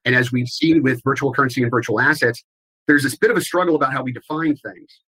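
A man answering interview questions in a measured, explanatory way, with some restarts. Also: medium pitch, pushed and bright, husky, low-quality recording. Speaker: guest, compliance expert.

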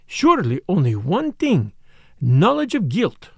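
A man's voice: sits high, huge pitch swings, gravelly, darkish tone, breathy edge, no room sound, studio quality, voice pushed up, heavily acted. A man voicing an elderly, courteous detective in a storybook, with a formal, pleading manner. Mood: grave urging. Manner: formal, pleading, dramatic pauses. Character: elderly Belgian male detective, courteous, grave, insistent.

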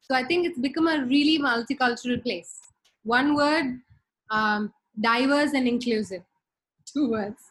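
A woman's voice: sits high, a little slow, fairly bright tone, rough recording. A middle-aged woman from India with an Indian accent, speaking casually as a podcast guest on a remote call, thoughtful with many fillers. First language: Tamil. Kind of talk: casual interview talk.

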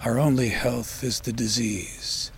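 A man reading poetry in a measured, solemn, dramatic recitation with deliberate pauses and a gravelly voice that turns breathy at phrase ends. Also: mid-range voice, steady tone, slight background noise. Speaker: poetry reader. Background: ambient sound design.

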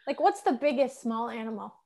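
A woman hosts a casual podcast chat in a slightly high voice. She is enthusiastic, and she keeps the guest going with quick affirming replies. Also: animated, gravelly, mellow. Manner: enthusiastic and affirming, many "mm" and "yeah" back-channels. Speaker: podcast host.

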